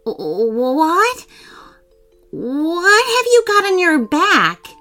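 An excitable little storybook boy, voiced by a woman, talks in a high, swooping voice. He sounds like a doubting kid brother, with music behind him. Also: slow pace, full character voice. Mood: startled. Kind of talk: children's storybook character voice.

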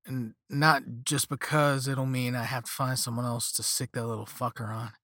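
A man's voice: mid-range voice, little pitch movement, normal speed, bright, forceful tone, no room sound, studio quality. A man voicing a flippant, easygoing young rich slacker in a story, with a casual slangy drawl and sarcastic half-apologies. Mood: smug, placating. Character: flippant, laid-back young rich slacker on a phone call.

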